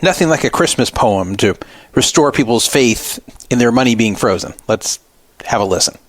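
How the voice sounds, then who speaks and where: A man's voice: mid-range voice, expressive, normal speed, noisy background. A man hosting a radio show, giving casual critical commentary in a sarcastic, mocking manner.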